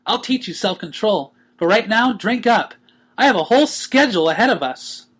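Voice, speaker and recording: Storybook cartoon pony girl male performer: childlike, chatty, cheerful, high-pitched and clear. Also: expressive, normal speed, fairly bright tone, heavily acted. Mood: upbeat. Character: hyper, bubbly, childlike young female cartoon pony.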